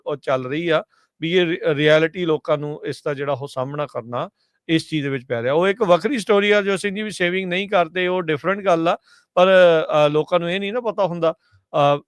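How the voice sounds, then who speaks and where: A man giving unscripted news commentary as a radio co-host, halting and repeating filler phrases. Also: a little high, clear, resonant tone, no echo, clean studio sound.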